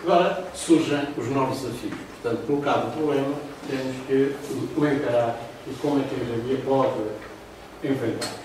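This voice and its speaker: An older man with a European Portuguese accent giving a formal lecture over slides, pausing often with fillers. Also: mid-range voice, steady tone, background noise. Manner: talks through slides, hesitant fillers. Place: classroom with projector. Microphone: far room camera. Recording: roomy echo from far off.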